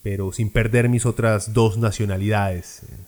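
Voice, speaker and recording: A man's voice: fairly low pitch, steady tone, moderate pace, a little mellow. A man from Peru hosting a podcast, who delivers opinionated political commentary in a blunt, sarcastic way with frequent fillers. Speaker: podcast host.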